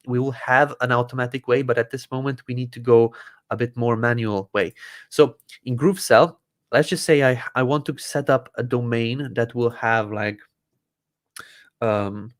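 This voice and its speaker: A man from Croatia hosting a live Q&A, walking viewers through software settings step by step with many fillers and restarts. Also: mid-range voice, steady tone, moderate pace. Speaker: host answering user questions. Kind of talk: live Q&A tech support walkthrough.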